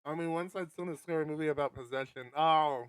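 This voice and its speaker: A man's voice: a little high, steady tone, fast talker, clear, resonant tone, no room sound. A man streaming a game, reading aloud and chatting casually in a wry, sweary way, now and then stumbling over his words. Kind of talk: casual read-aloud commentary with asides. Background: guitar music from the game.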